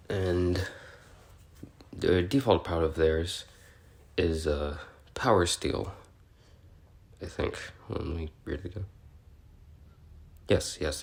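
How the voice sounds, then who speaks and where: A man's voice: deep voice, creaky, exaggerated voice. A man playing an awkward, curious, bookish researcher recording an audio log, explaining in a hesitant, rambling, politely apologetic way. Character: male researcher logging species notes, awkward, curious, bookish. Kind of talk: in-character audio log, semi-improvised explaining.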